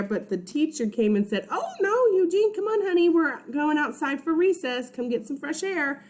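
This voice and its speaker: A woman's voice: mid-range voice, dark tone. A woman teacher reading a children's story aloud, informally, with chatty asides and check-in questions for young listeners.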